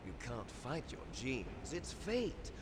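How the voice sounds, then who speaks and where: A man's voice: mid-range voice, big pitch swings, slow, noisy background. A man playing a ruthless, bitter cloned villain in a video game, menacing and grandiose, as if making a speech. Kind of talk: dramatic video game voice acting. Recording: long reverb, music underneath.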